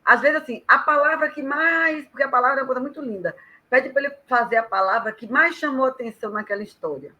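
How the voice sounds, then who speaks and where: A woman guest on a live chat, talking lively and emphatically as she gives advice. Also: high-pitched, fast, poor audio. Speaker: guest storyteller and author.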